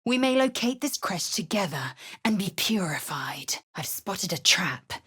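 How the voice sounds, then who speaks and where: A woman's voice: medium pitch, very animated, unhurried, sharp tone, slightly rough, heavily acted. An actress voicing a stern female githyanki warrior who speaks in clipped, commanding, contemptuous lines for a video game.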